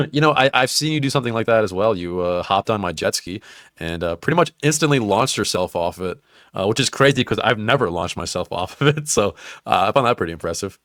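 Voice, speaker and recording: A man's voice: lowish voice, expressive, brisk, a bit bright, dry recording, clean studio sound. A young man co-hosting a podcast, swearing freely and teasing his friend, laughing often. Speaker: podcast co-host. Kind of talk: casual crude banter.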